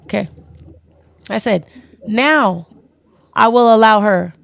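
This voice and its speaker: A woman hosting a live online panel, loud and forceful, swearing often and repeating herself. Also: fairly high pitch, takes it slowly, creaky, no room sound. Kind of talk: heated live-stream talk.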